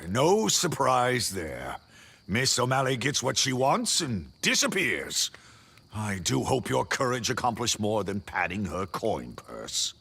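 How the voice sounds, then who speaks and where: A man playing a weary, disdainful knight in a video game, formal in speech, with a gravelly, husky voice. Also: medium pitch, wildly swooping pitch, slow, noisy background, exaggerated voice. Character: weary, disdainful knight keeping vigil against pirates. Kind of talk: video game character dialogue.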